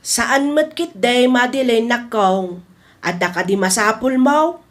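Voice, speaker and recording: An actress with a Filipino accent playing a polite, evasive elderly aunt in an Ilocano radio drama. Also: female voice, fairly high pitch, slow.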